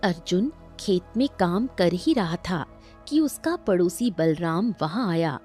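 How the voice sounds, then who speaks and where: Slow-paced woman narrating a story. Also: lowish voice, animated, dark tone, faint music behind.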